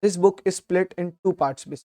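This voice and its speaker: Slightly hoarse young man, mellow voice. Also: sits high, quick pace. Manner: steady, earnest explaining with small restarts. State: slightly hoarse from a sore throat. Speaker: book summary host. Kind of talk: explanatory book summary talk.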